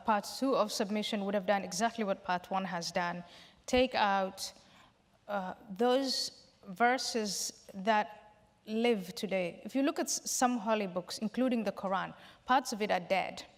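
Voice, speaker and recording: A woman from Somalia answers audience questions at a public event, speaking in a measured, explanatory way and sometimes restarting a phrase. Her voice sits in a middle register and carries a long hall reverb. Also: medium pitch. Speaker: panel guest. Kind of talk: public Q&A discussion. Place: large hall.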